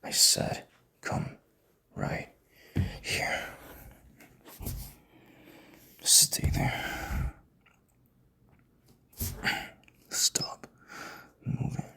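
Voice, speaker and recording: A man playing a proud, stubborn king in an intimate audio drama, commanding, with breathy, gravelly half-whispers. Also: drawn-out words, long pauses, bright, forceful tone. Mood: commanding, taunting. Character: proud, stubborn, commanding young king, bitter rival husband.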